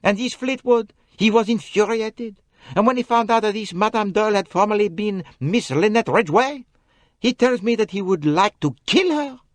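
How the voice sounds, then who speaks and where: A man's voice: sits high, normal speed, no room sound, voice pushed up, natural voice. A man voicing a young French lady's maid with a French accent, talking in a pleading, emphatic way with broken English phrasing. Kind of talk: audiobook character voice.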